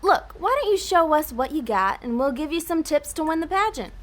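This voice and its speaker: A high, swooping female voice, acted by a woman for a scheming demon in a comic audio drama. She is brisk and sarcastic, quick to boss people around and short on patience. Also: animated. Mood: smooth, scheming. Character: bossy, impatient female demon posing as a talent developer. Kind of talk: audio comedy drama acting.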